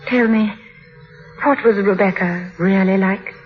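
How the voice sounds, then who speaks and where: A woman's voice: mid-range voice, fairly even intonation, measured pace, close to an everyday voice. An actress playing a timid, anxious young bride in an old radio drama, earnest and hesitant as she narrates and speaks. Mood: tentative, curious. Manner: hesitant, earnest, breathlessly nervous. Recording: dull vintage radio broadcast with music.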